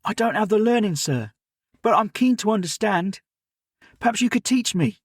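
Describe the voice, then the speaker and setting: Keen, humble servant lad, bright and high, a man narrator putting it on, dry close mic. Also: expressive, normal speed, husky, dry recording. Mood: eager. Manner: earnest, deferential, keen. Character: eager humble young male servant boy.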